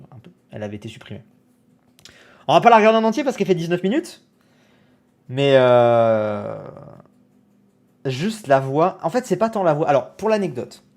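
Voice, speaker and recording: A young man on a live stream reacts to his own old video, laughing at himself. his voice swings through a lively range, and he breaks into loud exclamations as he goes. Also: fairly high pitch. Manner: animated, self-mocking, exclamatory.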